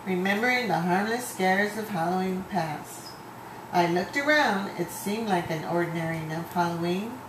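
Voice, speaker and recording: A woman's voice: on the low side, lively intonation. A woman reading a children's story aloud to a child, in an encouraging read-aloud manner. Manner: encouraging, pauses to prompt and explain words. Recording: roomy, echoey home recording with clear noise.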